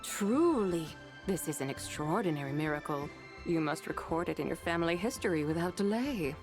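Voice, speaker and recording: A woman's voice: on the low side, animated, normal speed, over music, close to an everyday voice. An actress playing a dignified, serene woman of mystery in a video game, with a formal, composed manner. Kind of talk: video game character dialogue.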